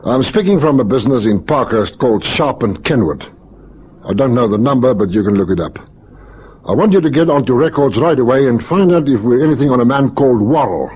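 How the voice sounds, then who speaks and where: Methodical police lieutenant. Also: male voice, medium pitch, lively intonation, fairly quick, darkish tone, husky. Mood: brisk, businesslike. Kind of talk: vintage radio crime drama acting. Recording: noisy old radio drama recording.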